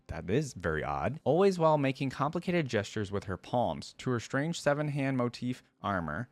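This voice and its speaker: A man's voice: fairly low pitch, no room sound. A man hosting a reaction video, reading a story aloud and adding casual, musing commentary as he thinks aloud.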